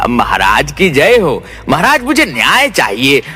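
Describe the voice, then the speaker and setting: A man's voice: a little high, huge pitch swings, measured pace, pushed and bright, breathy edge, heavily acted. A man voicing a stubborn miserly merchant in a comic cartoon, pleading and insistent. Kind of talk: cartoon comedy story acting. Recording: music behind.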